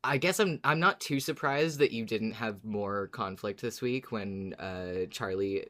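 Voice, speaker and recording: A podcast co-host in casual, reflective chat, agreeable and hesitant, feeling out each thought in halting phrases. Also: medium pitch, fairly bright tone. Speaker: podcast co-host discussing a fiction series.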